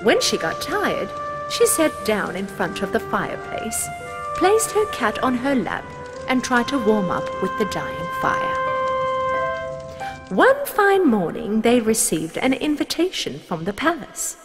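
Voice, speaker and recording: A woman's voice: fairly high pitch, huge pitch swings, unhurried, loud music behind the voice. A woman narrating a scripted fairy tale as a storyteller.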